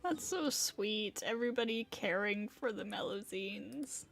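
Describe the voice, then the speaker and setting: Casting: female streamer, mid-range voice, leisurely pace, offhand live commentary over soft music. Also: mid-range voice, measured pace, gravelly. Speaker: game streamer. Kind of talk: casual live reaction commentary.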